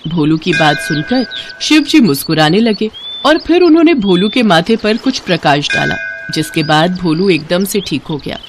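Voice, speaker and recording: A woman reading a cartoon bedtime story aloud, with a mid-pitched voice that rises and falls with plenty of life. Her delivery is expressive and scripted, and faint noise sits in the background. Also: moderate pace. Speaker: narrator.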